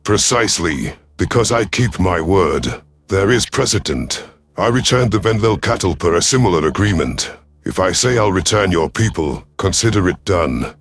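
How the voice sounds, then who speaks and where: A man voicing a cold, calculating alien predator commander in a sci-fi audio story, imperious and menacing, with a rough husky voice. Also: deep voice, animated, normal speed. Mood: imperious, threatening. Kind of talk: dramatic first-person sci-fi audio story.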